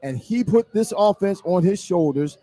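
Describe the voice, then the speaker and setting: Emphatic high-voiced male football analyst, dry sound. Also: expressive, normal speed, dark tone, no echo. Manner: emphatic, repeats phrases for weight. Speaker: sports radio analyst and co-host. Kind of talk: football commentary in casual discussion. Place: live remote broadcast at a casino.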